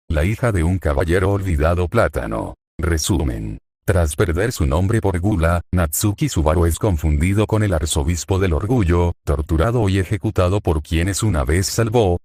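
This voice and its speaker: A man narrating a fan story in a steady, even read-aloud manner. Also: low-pitched, dry recording, studio quality. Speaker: fanfic narrator.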